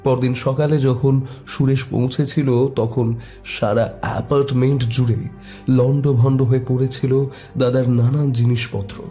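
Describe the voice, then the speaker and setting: A man's voice: medium pitch, level tone, measured pace, mellow, faint music behind. A man narrating a scripted horror story in a dramatic storytelling style.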